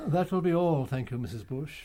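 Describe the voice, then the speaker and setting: Actor as a pompous English master detective, high and animated. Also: male voice, fairly quick, a little hiss or hum, natural voice. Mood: courteous host. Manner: pompous, precise, theatrical, formal diction. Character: retired celebrated detective, older, pompous, courtly, self-satisfied. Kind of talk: radio drama acting.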